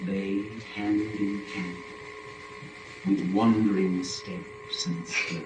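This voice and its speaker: A man narrating epic verse as a dramatic reading, with a solemn, measured, declamatory delivery. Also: deep voice, little pitch movement, over music. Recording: echoey room, faint noise.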